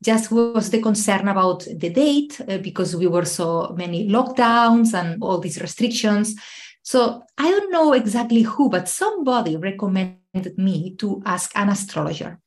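A woman's voice: mid-range voice, expressive, unhurried. A woman from Spain, a podcast guest telling a personal story, laughing often as she talks. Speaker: guest, travel coach. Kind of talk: podcast interview.

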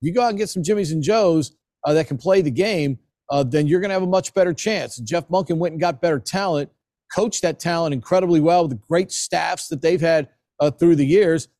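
An American man speaks as a guest sports analyst in a conversational style. His voice sits a little high for a man, and the sound is dry with very little room in it. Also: brisk, a little mellow, dry recording. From Maryland, United States. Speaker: sports broadcaster, interview guest.

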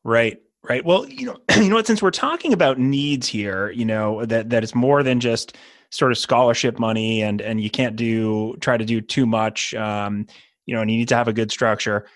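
A man's voice: medium pitch, moderate pace, no echo, clean studio sound. Rambling man hosting a podcast. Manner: rambling, hesitant, folksy asides. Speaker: podcast host. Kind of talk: podcast interview.

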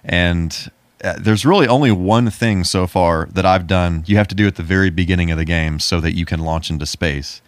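Deep-voiced man chatting casually, dry recording. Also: normal speed, no echo. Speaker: podcast co-host. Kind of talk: casual chat about video games.

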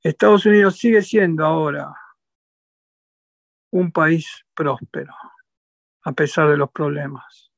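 An Argentine man with an Argentine Spanish accent, answering interview questions in a measured, emphatic way and repeating phrases as he thinks. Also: a little high, fairly even intonation, vocal fry, no echo. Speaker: interview guest. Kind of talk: reflective interview talk. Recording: dull lo-fi dry sound.

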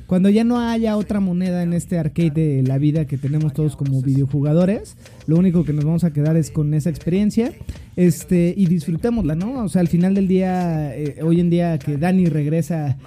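A man's voice: fairly high pitch, a little fast, dark tone. A young man with a Mexico City accent hosting a podcast, joking around in loose, slangy casual chat, a bit tipsy. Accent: Mexico City Spanish. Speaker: podcast host.

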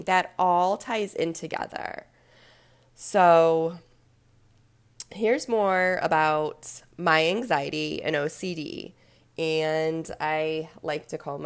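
Slow-talking congested woman host. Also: fairly low pitch. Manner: candid, reflective, with frequent ums. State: congested, nasal from a sinus infection. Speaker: podcast host, yoga and fitness instructor. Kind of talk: casual solo talk.

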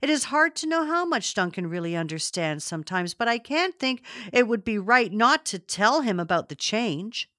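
A woman narrator voicing an earnest, conscientious, gently firm woman with deliberate delivery in an audiobook. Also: mid-range voice, sing-song, no echo, studio quality. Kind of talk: audiobook character reading.

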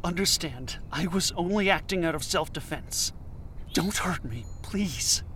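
A man playing a scatterbrained, earnest anthropologist in an audio drama, with an emotional and halting delivery. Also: on the high side, animated, noisy background. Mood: bewildered, wary. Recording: music behind the voice.

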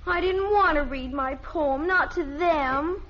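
A woman's voice: high-pitched, slow. An actress playing a small, sensitive, stubborn girl in an old American family radio comedy, with a plaintive, childlike delivery. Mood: tearful, lonesome. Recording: noisy dull old radio recording.

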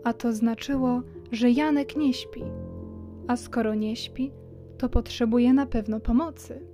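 A woman's voice: on the high side, fairly even intonation, measured pace, warm tone. A woman narrator reading a scripted children's story. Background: music.

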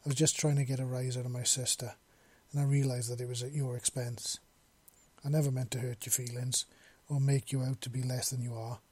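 A man's voice: mid-range voice, flat delivery, fairly quick, a little mellow, slightly rough, close to an everyday voice. A man voicing a big, playful male alien creature with claws and a teasing manner in an audiobook story. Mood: soft, apologetic.

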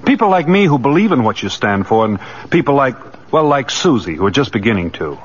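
Earnest, very expressive male lawyer. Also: medium pitch, fairly quick. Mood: earnest, concerned. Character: earnest, resourceful male lawyer, loyal friend. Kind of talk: 1940s radio drama acting. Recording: muffled, noisy old radio recording.

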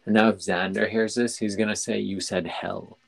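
A man's voice: lowish voice, little pitch movement, normal speed, creaky. A man hosting a podcast in casual chat, rambling and often restarting his sentences. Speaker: podcast host.

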